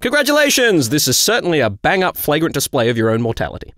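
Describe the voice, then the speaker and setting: A man's voice: fairly high pitch, huge pitch swings, fast talker, a bit bright, no echo, clean studio sound. An actor playing a jovial, flippant young male angel in an audio drama, theatrical and chatty. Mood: teasing. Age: young adult.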